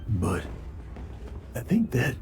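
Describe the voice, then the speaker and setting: A man's voice: mid-range voice, wildly swooping pitch, slow, a little mellow, faint music behind. An actor playing an overwhelmed, grateful townsman in a video game. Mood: tearful relief. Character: emotional adult townsman, overwhelmed, grateful, shaken.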